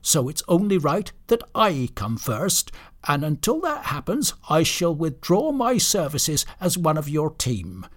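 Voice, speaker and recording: Storybook reindeer played by a man: proud, pedantic, argumentative, raised pitch, husky edge. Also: wildly swooping pitch, a little slow, a bit bright, full character voice. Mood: indignant. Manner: indignant, pedantic.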